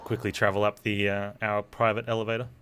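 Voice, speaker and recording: Low-pitched man streaming a video game. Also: level tone, fast talker, fairly bright tone, a little hiss or hum. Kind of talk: casual gameplay commentary, reading dialogue aloud.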